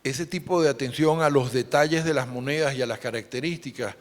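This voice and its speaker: Man, conference lecture, explanatory and formal, hesitant pauses, long hall reverb, mid-pitched voice. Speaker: interpreter presenting a speaker's lecture. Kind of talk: conference lecture on numismatics, relayed for another speaker.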